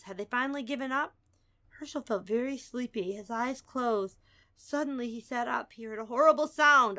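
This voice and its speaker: Woman, bright forceful tone, raised pitch, dramatic storybook narration.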